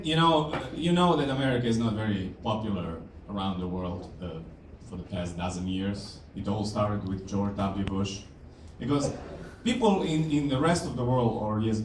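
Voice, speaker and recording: A man from Croatia with a Croatian accent and Croatian as his first language, doing a wry, self-deprecating stand-up set with halting restarts. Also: mid-range voice, distant mic, slight background noise. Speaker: stand-up comedian. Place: comedy club stage. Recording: echoey club taped from afar with crowd.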